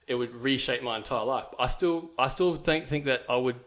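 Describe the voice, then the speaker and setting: A man's voice: medium pitch, a little fast, fairly bright tone. A young man from New Zealand, a podcast guest, telling his life story in a casual, rambling way with many fillers and restarts.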